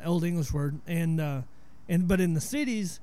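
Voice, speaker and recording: Mellow-voiced Southern man telling stories. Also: a little high, moderate pace. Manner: laid-back storyteller, explains word origins. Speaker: podcast host. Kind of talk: casual chat.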